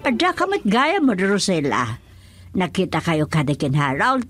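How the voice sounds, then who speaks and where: An actress with an Ilocano accent playing an excitable, prying woman in a radio love drama. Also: fairly low pitch, moderate pace, soft background music. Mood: questioning.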